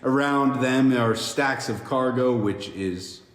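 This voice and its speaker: A man with a medium-pitched voice reads a screenplay's stage directions at an amateur table read. He is halting and jokey, stumbling over words. The room is echoey with long reverb and a faint noise underneath. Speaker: podcast host reading stage directions.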